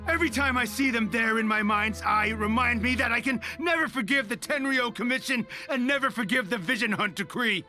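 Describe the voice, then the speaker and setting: An actor playing a grim, stubborn rebel soldier in a video game, intense and defiant in tone. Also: male voice, extremely high pitch, fairly quick, hardly any pauses, sharp tone, faint music behind, exaggerated voice. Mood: bitter.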